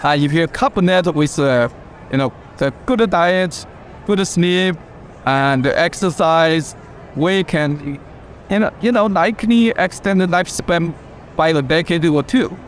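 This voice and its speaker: An older man explaining health science in a measured, informative way at an expo booth. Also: fairly high pitch, a little hiss or hum. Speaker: interviewee. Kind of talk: explanatory expert talk.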